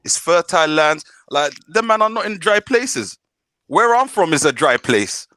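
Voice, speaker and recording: A man's voice: a little high, sing-song, normal speed, bright, forceful tone, no room sound, studio quality. A man from northern Somalia, hosting a live panel debate, talking in street slang and repeating himself to drive a point home. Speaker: podcast host.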